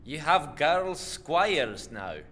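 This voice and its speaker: An actor playing a bitter, blunt ex-army farmer with debts and a taste for drink in a fantasy audio drama. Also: male voice, a little high, very animated, very slow, sharp tone, exaggerated voice. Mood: curt. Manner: blunt, curt, grudging.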